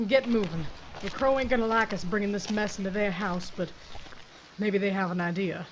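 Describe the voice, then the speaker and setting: A woman's voice: mid-range voice, moderate pace, close to an everyday voice. A woman playing a tough woman sheriff on the run, with a blunt frontier drawl and barked orders. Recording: slightly dull, faintly noisy recording.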